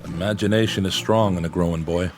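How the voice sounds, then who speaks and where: An actor in a radio drama playing a calm, skeptical male psychiatrist who probes his patient in a measured, dry, clinical manner. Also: sits low, music in the background, close to an everyday voice. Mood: clinical, probing. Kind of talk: audio drama acting.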